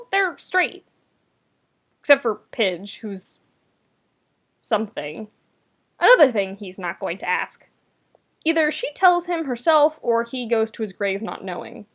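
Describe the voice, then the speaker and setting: A woman with a fairly high voice narrates a story like an audiobook. Her delivery is wry and conversational, leaning on key words for emphasis. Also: fairly bright tone. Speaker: podfic reader, narrator. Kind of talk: scripted narration of fan fiction, expressive audiobook reading.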